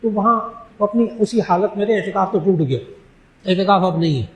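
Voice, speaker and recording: A man from Pakistan, an Islamic scholar, giving an emphatic religious talk that answers questions and slowing down to stress key phrases. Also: sits high. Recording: echoey room.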